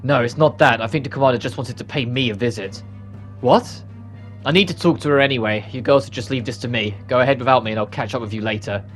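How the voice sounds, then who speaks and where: An earnest fallen knight from a fantasy game, read aloud by a man. He speaks seriously and guardedly in a middle-pitched male voice. Faint music runs underneath. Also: fast, lightly acted. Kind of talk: reading game cutscene dialogue.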